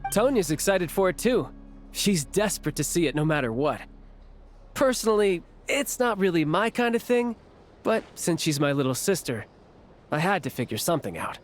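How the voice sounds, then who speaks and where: Young soldier, easygoing and wry, fairly high voice, lively intonation, game dialogue. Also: male voice, moderate pace, a little hiss or hum. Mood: casual, considerate. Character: easygoing young male soldier, dutiful older brother. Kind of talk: video game dialogue acting. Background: game music.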